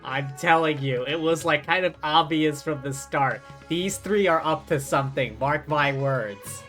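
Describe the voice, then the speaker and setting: A woman's voice: low-pitched, measured pace, a bit bright. A woman livestreaming a video game, casually chatting and reacting aloud as it goes. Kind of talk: casual live commentary on a game. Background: game music.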